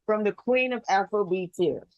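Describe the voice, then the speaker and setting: A woman's voice: medium pitch, normal speed, a little mellow, no echo, highs cut off. A woman hosting a TV show, asking friendly, upbeat interview questions with some fillers. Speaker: TV show host.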